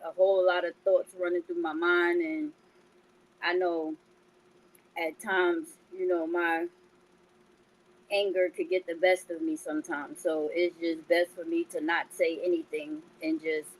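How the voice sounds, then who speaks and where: A woman from New York with a high, childlike baby voice who talks bluntly and with force. She is a podcast co-host in casual conversation. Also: little pitch movement, a little slow, poor audio. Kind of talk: casual chat.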